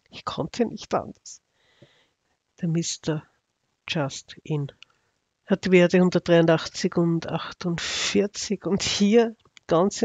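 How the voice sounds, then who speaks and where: A man's voice: fairly high pitch, creaky, dry recording. A man with an Austrian accent giving casual, unscripted commentary as an astrology video narrator, in a sardonic, ironic manner.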